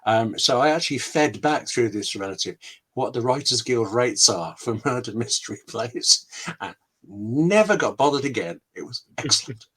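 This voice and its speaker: A man's voice: mid-range voice, lively intonation, normal speed, a bit bright, slightly rough. A man answering interview questions as a scriptwriter guest on an online webinar, candid and self-deprecating, speaking in short emphatic phrases.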